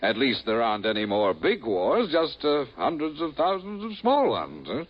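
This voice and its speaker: Fussy clerk, genial, hesitant, officious, husky, very animated, old radio play. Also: male voice, mid-range voice, normal speed. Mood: genial, pleased. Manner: dithering, hesitant, repeats himself. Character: fussy, genial male bureaucrat, chuckling, apologetic, officious. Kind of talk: radio drama acting. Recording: muffled old radio drama recording.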